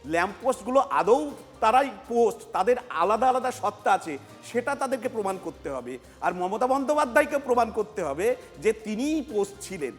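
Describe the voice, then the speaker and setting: A man's voice: high-pitched, sing-song, fairly quick, in a large hall, soft background music. A man with a West Bengal Bengali accent giving emphatic political analysis on a TV panel, repeating his key phrases.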